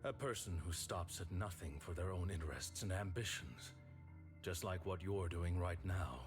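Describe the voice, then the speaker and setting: An actor playing a stern, righteous man in a video game, speaking in a grave, measured, accusatory way. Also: sits low, over music, natural voice.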